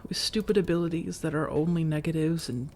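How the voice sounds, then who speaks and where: An elegant vampire woman, acted by a woman, speaks in a mellow, soft, breathy voice that often sinks to a whisper. Her phrasing is measured, formal and coaxing, in the manner of an ASMR roleplay. A faint noisy ambience sits behind her. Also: deep voice, fairly even intonation, close to an everyday voice. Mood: indignant, composed. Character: elegant, persuasive, long-lived female vampire seeking a roommate.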